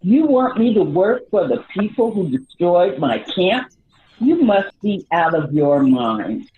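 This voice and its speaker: A woman's voice: on the low side, very animated, slow pace. A woman playing a worried, indignant homeless citizen in an amateur radio play, earnest and incredulous. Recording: phone-like muffled sound with faint noise.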